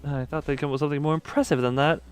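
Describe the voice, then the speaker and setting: A man's voice: a little high, steady tone, racing, clear, resonant tone, faint music behind. A man on a game livestream, reading dialogue aloud and making casual remarks.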